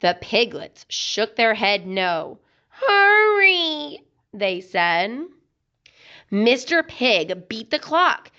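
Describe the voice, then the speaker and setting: Slow, very animated woman reading a storybook. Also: mid-range voice, muffled recording. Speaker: children's book reader. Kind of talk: expressive read-aloud storytelling.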